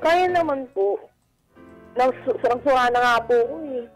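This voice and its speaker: A woman's voice: sits high, normal speed, poor audio. Plainspoken woman caller with music behind. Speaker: caller to a radio advice show. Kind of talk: personal appeal in everyday speech.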